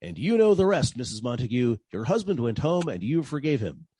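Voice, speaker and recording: A man's voice: mid-range voice, sing-song, fairly quick, mellow, breathy edge. A man playing a wry, wisecracking 1940s private detective, narrating his case in old-time radio style. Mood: wry narration. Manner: dry, wisecracking.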